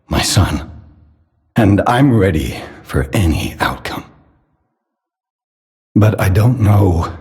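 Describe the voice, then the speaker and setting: An actor playing a grave, suspicious elderly father in a video game, husky and measured, speaking solemnly with paternal concern. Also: very deep voice, darkish tone, exaggerated voice. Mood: sorrowful, pleading. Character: grave, suspicious elderly father, doting yet quietly manipulative. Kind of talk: scripted video game character dialogue. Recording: long cavernous reverb.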